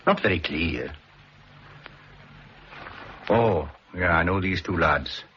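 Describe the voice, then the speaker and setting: An actor playing a plain-spoken, kindly island fisherman in a 1960s radio drama, unhurried and saying "aye". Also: sits low, animated. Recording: dull old broadcast recording with faint hiss.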